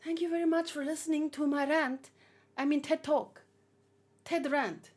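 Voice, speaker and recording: A woman's voice: high-pitched, steady tone, normal speed, a little mellow. A woman giving informal, opinionated commentary as she hosts a casual live read-along and talks with chat. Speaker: manga read-along host.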